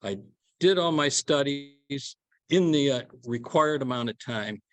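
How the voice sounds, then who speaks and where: A man's voice: mid-range voice, expressive, measured pace, dry recording. An older man speaking on a panel as a former minister, in a rambling, self-deprecating way. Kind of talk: panel discussion. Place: event hall.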